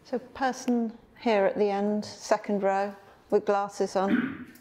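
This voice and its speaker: Woman, mid pitch, chatty panel chair, lively. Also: slow. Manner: lively, chatty, laughs easily. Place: university event room with audience.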